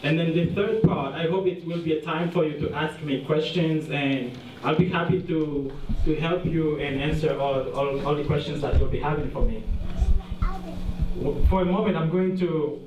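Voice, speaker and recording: A man's voice: a little high, fairly even intonation, moderate pace. A young man from Haiti, giving an earnest, plain-spoken slide presentation as a guest speaker at a dinner. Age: young adult. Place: large dinner hall. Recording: roomy, distant, dull sound, faint hiss.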